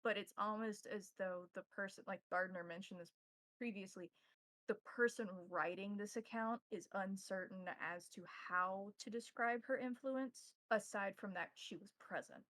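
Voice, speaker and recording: A woman running a tabletop roleplaying game as game master, narrating in a measured, formal way with deliberate wording. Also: medium pitch, a bit bright, no echo, low-quality recording.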